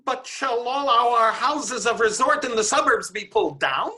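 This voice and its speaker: Slow, bright-voiced bawdy madam played by a woman. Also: on the high side, lively intonation. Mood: inquisitive. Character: worldly, grumbling brothel madam. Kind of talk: staged reading of Shakespeare comedy.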